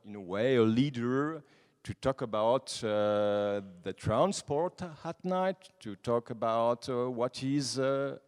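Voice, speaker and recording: A young French-accented man speaks in English at a forum, pausing often and reworking his sentences as he hunts for words. His mid-pitched voice rings out with a long reverb from a large hall. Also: smooth. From France. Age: 20s. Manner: halting, frequent restarts, searching for English words. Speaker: conference speaker, night-life association president. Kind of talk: informal conference talk to an audience.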